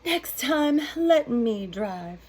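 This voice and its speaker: A prim, flustered female cow, as a woman reading a picture book puts her on, fussing in old-fashioned exclamations. Her voice swoops in pitch and stretches each word slowly, with a breathy edge. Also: very animated, darkish tone, exaggerated voice.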